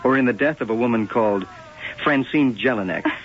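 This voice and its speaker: An actor playing a blunt, determined police lieutenant in an old radio drama, businesslike, on a dull, hissy recording. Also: male voice, mid-range voice, brisk, natural voice. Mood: stern, accusing.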